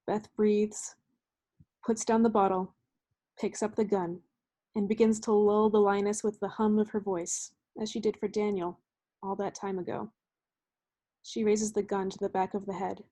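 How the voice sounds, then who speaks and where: A woman's voice: mid-range voice, little pitch movement, moderate pace, warm tone. A woman reading stage directions as scripted narration for a staged play reading. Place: remote staged reading over video call. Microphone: video call microphone.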